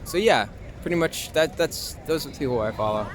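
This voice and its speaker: Young American man, higher-pitched, noisy street. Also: lively intonation, a little fast, crowd noise. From Long Island, New York, USA. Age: young adult. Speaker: interviewee, actor and filmmaker. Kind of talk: casual street interview chat. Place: outdoors in the city. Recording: noisy outdoor city street with traffic.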